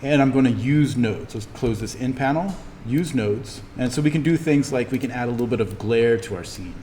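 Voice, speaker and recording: A man giving a casual, easygoing software tutorial as an instructor, thinking aloud as he explains each step. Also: medium pitch, brisk. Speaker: instructor teaching a 3D animation class. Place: indoors, echoing classroom. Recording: reverberant room with background noise.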